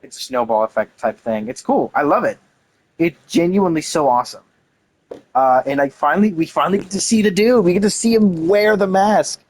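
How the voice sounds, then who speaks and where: A man's voice: fairly high pitch, fairly quick, darkish tone, a little hiss or hum, low-quality recording. A man reading game lore aloud and commenting casually and enthusiastically, with some casual swearing. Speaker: gaming content creator.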